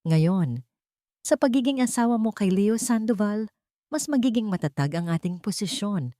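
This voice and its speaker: A woman's voice: medium pitch, expressive, moderate pace, warm tone, dry recording, studio quality, lightly acted. A woman voicing a serious, admonishing uncle, a male attorney, in a narrated romance story. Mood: grave admonishing. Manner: grave, admonishing. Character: stern, serious uncle, a male attorney guarding family name.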